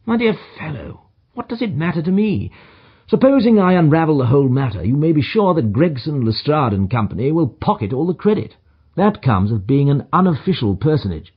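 A man's voice: on the high side, very animated, a little fast, breathy edge, highs cut off, pitched above the performer's own voice. A man voicing a self-assured, superior detective in an audiobook, with emphatic, clipped pronouncements and dry condescension. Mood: languid, dismissive. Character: brisk, self-assured detective, languid yet energetic and superior. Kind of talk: audiobook character dialogue.

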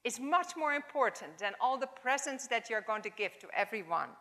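A woman in her seventies with a Dutch accent, giving an informal, halting chapel talk in English as a second language. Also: on the high side, lively intonation. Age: 70s. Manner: warm, halting, non-native phrasing. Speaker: chapel speaker. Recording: long hall reverb.

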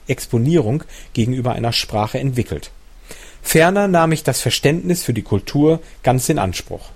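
A man narrating a nonfiction audiobook in a steady, measured reading voice. His pitch sits in the ordinary middle range for a man. Now and then he stumbles and corrects a word before moving on. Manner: measured, even reading pace with occasional self-corrections. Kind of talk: reading a nonfiction book aloud.

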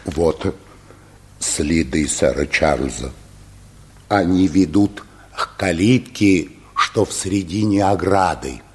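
An actor playing a grave, anxious manservant in a radio play, speaking in a halting, solemn manner. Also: male voice, low-pitched, breathy edge, exaggerated voice. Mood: grave, subdued. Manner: slow, halting, solemn delivery. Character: grave, anxious manservant bringing news of death.

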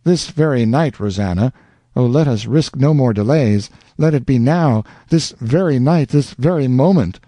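A man's voice: medium pitch, animated, moderate pace, mellow, dry recording, pitched above the performer's own voice, natural voice. A man reading a devoted young lover in an audiobook, fervent and exclaiming in a somewhat raised voice. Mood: eager.

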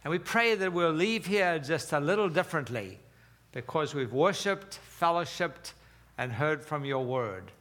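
A man's voice: fairly high pitch, animated, a little slow, a bit bright. A man in his seventies, born in South Africa, preaching a conversational sermon with fillers and some slips. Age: 70s. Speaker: pastor.

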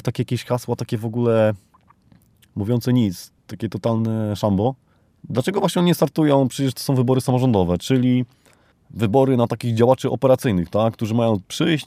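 Low, mellow man, ironic podcast monologue. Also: no room sound. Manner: ironic, rambling, uses rhetorical tag questions. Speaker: podcast host. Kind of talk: unscripted spoken commentary, monologue.

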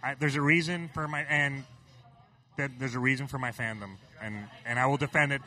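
Bright-voiced excitable man from Puerto Rico. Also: mid-range voice, a little fast. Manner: excitable, stammering restarts. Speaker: podcast co-host. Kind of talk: casual chat.

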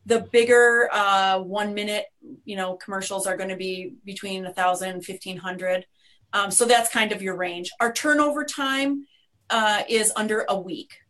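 A woman's voice: mid-range voice, a bit bright. A Midwestern American woman speaking as a guest on a webinar, chatty and upbeat, with many restarts. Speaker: guest, media company owner. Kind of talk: webinar conversation.

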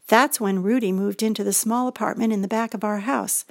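Older woman narrating a gentle memoir. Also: mid-range voice, steady tone, fairly quick, vocal fry, no echo, studio quality. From United States. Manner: gentle, reflective storytelling. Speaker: feature presenter. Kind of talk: scripted personal narration.

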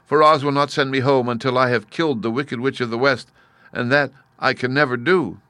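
A man's voice: medium pitch, lively intonation, normal speed, fairly bright tone, no echo, voice pushed up, natural voice. A small, earnest little girl voiced by a male reader speaks in a lifted, plaintive voice. The delivery is simple and helpless, a storybook child pleading with grown-up powers, recorded close and dry. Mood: tearful, sad. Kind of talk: storybook character voice.

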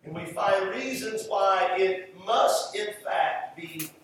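A man with a Southern US accent preaching a sermon emphatically, repeating phrases and pausing for effect. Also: sits high, a bit bright. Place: large echoey room, preaching to a congregation. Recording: echoey room, distant mic, faint noise.

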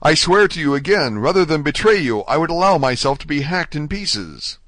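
A man's voice: mid-range voice, expressive, moderate pace, fairly bright tone, no echo, lightly acted. A man voicing a desperate, ardent young male prisoner in a storybook, pleading fervently. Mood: fervent vows. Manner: impassioned, fervent, exclamatory.

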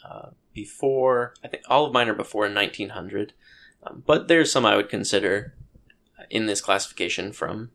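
Podcast co-host, male, low gravelly voice, hesitant and thoughtful, casual chat. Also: normal speed, a bit bright. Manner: thoughtful, hesitant, many ums and restarts.

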